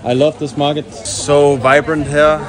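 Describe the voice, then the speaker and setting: A man's voice: fairly high pitch, flat delivery, unhurried. Upbeat man vlogging through a market. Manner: upbeat, chatty, greets passersby. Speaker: travel vlogger. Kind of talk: walking vlog to camera. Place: busy outdoor street market. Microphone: handheld action camera at arm's length. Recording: music under voice. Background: music bed.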